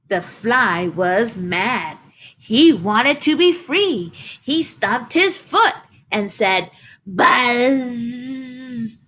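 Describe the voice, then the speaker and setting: A woman librarian reading a children's story aloud, expressively and deliberately, speaking directly to young listeners. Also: fairly high pitch, lively intonation, fairly bright tone, husky.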